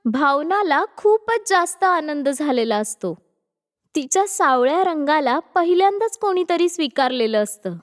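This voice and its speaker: A woman's voice: high voice, sing-song, unhurried. A woman narrator reading a scripted moral story. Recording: long reverb on voice.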